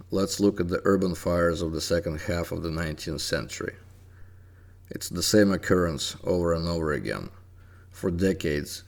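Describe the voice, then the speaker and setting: A middle-aged man with a Russian accent, talking through his own history research in a measured, deliberate way with "uh" pauses. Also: low voice, vocal fry. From Soviet Union. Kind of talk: narrated audio commentary.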